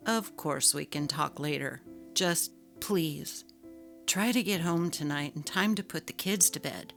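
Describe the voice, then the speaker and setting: A woman's voice: sits low, measured pace, creaky. A woman voicing a patient, neglected husband who pleads earnestly in a dramatic story reading. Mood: pleading. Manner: earnest, gently reproachful. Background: faint music.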